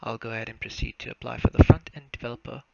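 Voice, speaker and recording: Creaky-voiced young South African man, muffled mic. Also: mid-range voice, fairly even intonation, quick pace. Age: young adult. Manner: formal, step-by-step explaining. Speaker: student software developer presenting a demo. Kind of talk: narrated software walkthrough. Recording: muffled lo-fi mic, faint noise, clicks.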